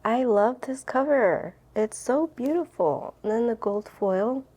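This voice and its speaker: A woman's voice: a little high, expressive, measured pace, warm tone, slightly rough. A woman book vlogger chatting casually and rambling to camera, with an informal, gushing and emphatic way of talking.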